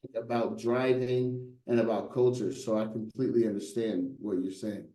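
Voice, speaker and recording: A man's voice: lowish voice, little pitch movement, normal speed, dark tone, reverberant, rough recording. A middle-aged man in a casual interview, telling stories with lots of rhetorical "right?" asides and a playful tone. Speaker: guest, brand designer.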